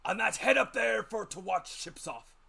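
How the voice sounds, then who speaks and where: A man voicing a rough, commanding old sea captain in a dramatic storybook reading, loud and slow, barking his words. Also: high-pitched, animated, pitched above the performer's own voice, exaggerated voice. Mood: blunt, fierce.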